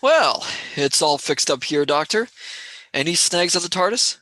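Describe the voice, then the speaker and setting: A man plays a worried young man in a sci-fi radio drama. His voice is bright, tense and close-recorded, with blunt, impatient delivery. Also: on the high side, expressive, a little slow, no echo. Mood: brisk. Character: agitated, impatient young man space traveller. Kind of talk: sci-fi radio play acting.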